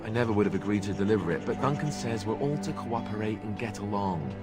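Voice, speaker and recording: An actor playing a sarcastic, self-deprecating young warrior in a video game, with music behind him. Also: male voice, on the low side, quick pace, natural voice. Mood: friendly banter. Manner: sarcastic, quipping. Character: wry, self-deprecating young male warrior monk-turned-knight. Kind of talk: video game dialogue acting.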